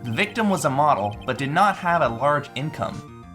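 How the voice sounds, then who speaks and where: A man's voice: fairly high pitch, expressive, moderate pace, a bit bright, soft background music. A man voicing a condescending, formal prosecutor with pointed delivery in a video game fan dub. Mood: smug, accusing. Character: smug male prosecutor, formal and needling.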